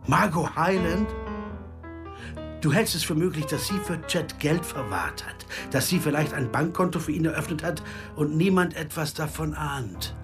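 An actor playing an insistent, searching amateur sleuth in a German radio crime drama, who also narrates. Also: male voice, a little high, normal speed, natural voice. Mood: earnest. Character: amateur sleuth Evan Horn, American man, insistent, searching. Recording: music behind.

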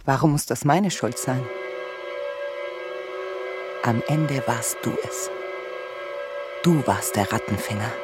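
An actress in a German radio play voicing a bitter, defensive grown daughter who accuses and anguishes. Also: female voice, very deep voice, lively intonation, slow pace, pauses often, full character voice. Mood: bitter, accusing. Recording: music behind the voice. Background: music.

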